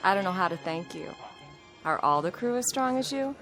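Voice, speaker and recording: A woman's voice: medium pitch, expressive, normal speed, over music, close to an everyday voice. An actress playing a polite, grateful, admiring female space colonist in a radio drama.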